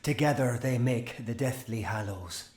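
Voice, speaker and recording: An actor playing an eccentric middle-aged wizard with a portentous manner in a fantasy video game. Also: male voice, mid-range voice, a little mellow, roomy sound, lightly acted. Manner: slow, portentous.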